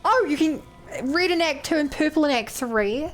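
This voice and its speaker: A woman's voice: high voice, expressive, moderate pace, faint music behind. A woman with a New Zealand accent streaming a game, chatting casually, thinking aloud and answering her chat. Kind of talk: casual gameplay commentary.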